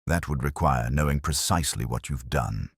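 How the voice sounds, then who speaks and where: A man plays a razor-sharp Victorian detective in an audiobook. The voice is very deep, cold and composed, with formal phrasing and a dry sound. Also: moderate pace, husky, dry recording, exaggerated voice. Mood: cold, resolute.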